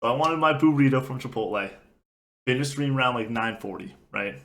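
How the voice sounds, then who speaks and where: A young man co-hosting a casual gaming podcast, telling a rambling, animated story while getting over being sick. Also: medium pitch, fairly quick. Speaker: podcast co-host.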